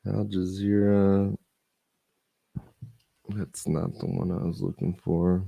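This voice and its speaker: Gravelly low-voiced man losing his voice. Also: little pitch movement, slow, warm tone, no echo. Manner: loose, chatty, thinking aloud. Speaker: host. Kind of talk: casual live-stream reading and chat.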